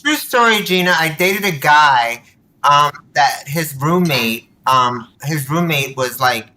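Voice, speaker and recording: A woman chatting as a guest on a podcast. Her voice is bright and forceful. She tells anecdotes in an animated, informal way, slipping into quoted dialogue. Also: sits low, takes it slowly, poor audio. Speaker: podcast guest, nonprofit board member. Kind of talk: casual chat, storytelling.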